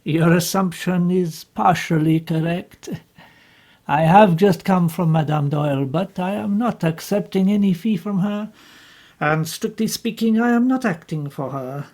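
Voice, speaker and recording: A woman reading the part of an older male detective, calm and formal, in a slow, deliberate husky voice. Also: fairly low pitch. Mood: grave.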